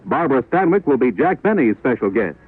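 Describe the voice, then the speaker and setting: Lively-pitched male announcer on tinny old radio. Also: brisk. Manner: crisp, formal reading. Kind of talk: scripted 1940s radio announcing. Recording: tinny vintage radio recording.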